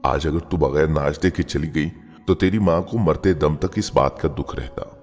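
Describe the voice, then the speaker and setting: A man's voice: very deep voice, normal speed, darkish tone. A man voicing a doting, affectionate middle-aged mother in a dramatic moral story. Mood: tender, doting. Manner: affectionate, coaxing. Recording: long reverb, music bed behind.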